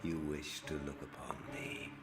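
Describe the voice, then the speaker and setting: An actor playing an imperious masked opera ghost in an audio drama, speaking in a measured, formal, threatening way. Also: male voice, very deep voice, level tone, a bit bright, soft background music. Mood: ominous. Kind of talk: full-cast audio drama acting.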